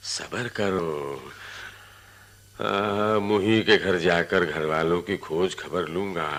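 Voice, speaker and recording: A greedy, unctuous village moneylender in a radio play, full of false sympathy. His low, gravelly voice has a forceful edge and drawls with big theatrical swings. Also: exaggerated voice. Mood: unhurried, patronizing. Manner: drawling, unctuous, repeating phrases with questioning tags. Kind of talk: Hindi radio drama acting.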